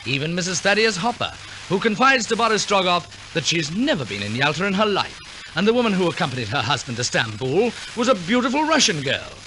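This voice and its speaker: A man's voice: high voice, sing-song, fairly quick, low-quality recording. A man narrating a scripted 1940s radio operetta as announcer, in a theatrical, arch and wry storytelling manner. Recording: old 1940s radio recording with orchestral music.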